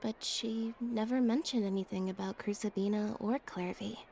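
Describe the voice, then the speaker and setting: An actress voicing a quiet, composed young woman in a game, speaking softly. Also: mid-range voice, moderate pace, gravelly, a little hiss or hum, lightly acted. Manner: calm, soft, reserved delivery.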